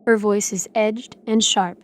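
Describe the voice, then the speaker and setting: A woman's voice: medium pitch, fairly even intonation, measured pace. A woman reading scripted dramatic narration as the narrator of an audio drama.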